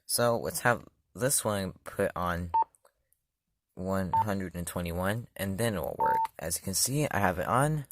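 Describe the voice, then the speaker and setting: Guy with a really low voice showing off a gadget, lots of ums and self-corrections, noisy background. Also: animated, measured pace. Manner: casual, loose, many fillers and self-corrections. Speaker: product reviewer. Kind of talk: casual hands-on demo.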